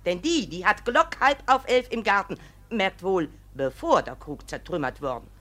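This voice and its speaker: A woman's voice: medium pitch, animated, moderate pace, fairly bright tone. An actress playing a stern, indignant mother bringing a complaint in a classic comedy radio play, emphatic and scolding. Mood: insistent accusing.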